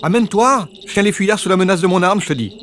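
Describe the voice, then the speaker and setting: A man's voice: high-pitched, animated, fast, soft background music. A man playing a barking armed guard. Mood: commanding. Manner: shouting commands. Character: gruff armed male guard, barking orders.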